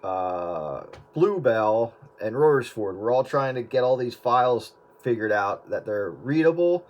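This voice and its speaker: A man's voice: medium pitch, animated, unhurried, muffled recording. A man chatting casually about editing old video, rambling with many fillers and restarts. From US Northeast. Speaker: video editor. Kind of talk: casual chat.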